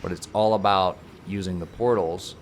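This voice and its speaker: Slightly hoarse man, very low voice, chatty let's-play commentator walking through a puzzle, faint hiss. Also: steady tone, moderate pace. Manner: explains puzzles step by step, joking. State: a little hoarse. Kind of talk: casual gameplay commentary.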